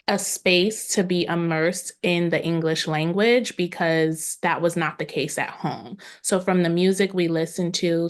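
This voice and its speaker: A woman whose first language is Haitian Creole, answering interview questions as a guest author in an earnest, fluent way over a video call. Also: lowish voice.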